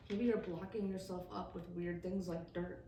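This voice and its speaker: A woman's voice: fairly low pitch, fairly even intonation, moderate pace, warm tone. A young American woman from small-town Wisconsin, chatting candidly and casually as a podcast host, with some swearing. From small-town Wisconsin, USA. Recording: roomy, distant mic, faint background noise.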